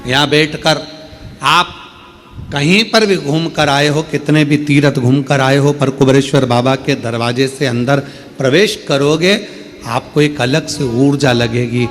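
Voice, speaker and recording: A man's voice: mid-range voice, fairly even intonation, unhurried, a bit bright, soft background music. Man preaching with rising emphasis. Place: open-air religious gathering.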